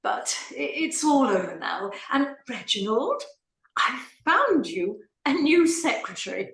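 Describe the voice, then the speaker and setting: A woman's voice: a little high, big pitch swings, a little slow, creaky, fairly bright tone, echoey room, heavily acted. A woman playing an imperious, theatrically emotional aristocratic lady in a comic stage play, with a declamatory manner. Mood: composed, grand. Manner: declamatory, dramatic.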